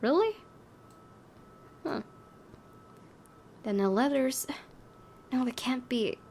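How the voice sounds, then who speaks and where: A woman's voice: animated, slow pace, darkish tone. A woman doing let's play narration, reading a story aloud expressively with casual, offhand asides. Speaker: gaming video host, reading a visual novel aloud. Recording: faint low rumbling background noise.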